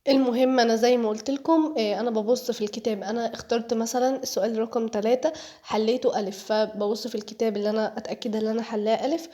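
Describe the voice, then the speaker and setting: Fast-talking teen girl with a cold. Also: a little high, steady tone, warm tone. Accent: Egyptian Arabic. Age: teens. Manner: chatty, jokey, addresses viewers directly. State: has a cold, voice sounds off. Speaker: vlogger, high school student. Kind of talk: casual voice-over vlog narration to viewers.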